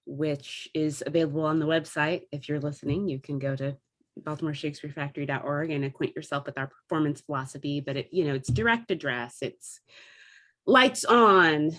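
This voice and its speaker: A woman speaking as an interview guest, explaining things in an articulate, conversational way. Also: deep voice. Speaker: theatre artistic director and actor, interview guest.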